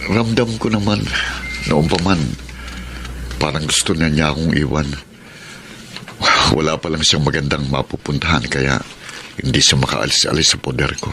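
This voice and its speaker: Deep-voiced, forlorn Filipino husband. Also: male voice, moderate pace, pauses often, noisy background. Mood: sad, resigned. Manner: melancholy, resigned, plainspoken. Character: forlorn abandoned husband, father of two, taxi driver. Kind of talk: Filipino radio soap acting.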